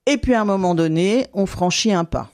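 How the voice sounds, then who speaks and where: A man hosting a radio interview, asking brisk, guiding questions. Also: sits high, no echo, studio quality.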